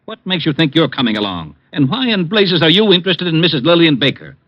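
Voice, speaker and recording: An actor playing an exasperated, hot-tempered police lieutenant in an old radio drama. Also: male voice, fairly high pitch, big pitch swings, quick pace. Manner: blustering, sputtering outbursts. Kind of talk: 1950s radio drama acting. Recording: muffled old radio broadcast.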